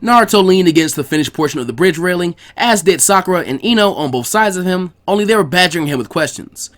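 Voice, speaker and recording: A man's voice: sits high, animated, fast, a bit bright. A man narrating fan fiction as a scripted story reading.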